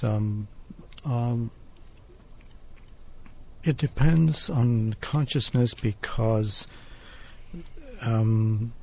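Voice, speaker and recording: A man speaking as a guest on a discussion panel, halting often and filling pauses with "um". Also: mid-range voice, darkish tone, slightly rough. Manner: halting, many ums. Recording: dull lo-fi broadcast recording.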